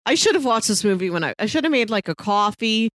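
A woman's voice: a little high, lively intonation, quick pace, a bit bright, dry recording, studio quality. A woman co-hosting a discussion in a casual, conversational style. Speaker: podcast co-host discussing films. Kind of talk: casual chat.

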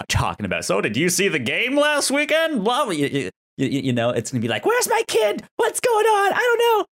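A man chats casually as a podcast co-host. His delivery is halting, circling back over words as he thinks, and his intonation moves widely up and down. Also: mid-range voice, fairly bright tone. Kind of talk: casual discussion of a TV drama.

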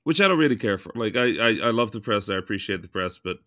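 A man with a raspy just-woke-up voice, chatting frankly as a podcast co-host, often restarting his sentences. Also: lowish voice, expressive, quick pace, sharp tone, no echo, clean studio sound. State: raspy morning voice. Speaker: podcast co-host, game developer. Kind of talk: casual chat.

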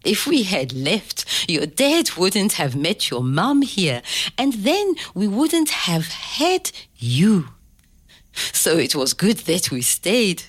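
A woman playing a loving, firm grandmother who tells a bedtime story in a measured, tender, expressive way. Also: lowish voice, breathy edge, heavily acted. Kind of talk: scripted dramatic story narration.

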